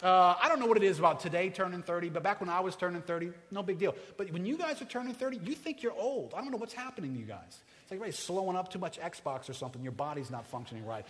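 A man in his forties preaching a sermon, conversational and joking with the congregation, swinging between hushed and loud passages. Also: sits high, expressive, very fast, hardly any pauses. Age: 40s. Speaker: pastor. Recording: reverberant hall.

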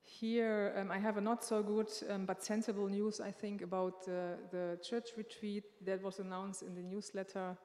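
A woman giving unscripted church announcements in a church sanctuary, halting and pausing often as she speaks into a microphone. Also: mid-range voice, level tone, darkish tone. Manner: halting, with many filler pauses. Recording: long reverb of a large hall.

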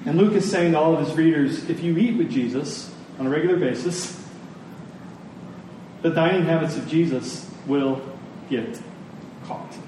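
A man preaching a sermon to a congregation in an earnest, deliberate manner, repeating phrases for emphasis. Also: fairly high pitch, warm tone. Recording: very echoey room, distant mic, faint noise.

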